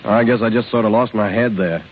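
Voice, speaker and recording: A man's voice: fairly low pitch, fairly even intonation, brisk, natural voice. A man acting a lovesick, impulsive young nephew in a 1930s radio drama, earnest, impassioned and stammering. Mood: shy, earnest. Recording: tinny, hissy 1930s radio broadcast.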